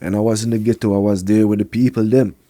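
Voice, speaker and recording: A man from Montreal whose first language is Quebec French, speaking English with a strong Jamaican patois flavor in a relaxed podcast interview. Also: fairly low pitch, fast, no echo. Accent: Jamaican patois over a Quebec French base. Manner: laid-back, slips into patois grammar. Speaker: reggae artist guest.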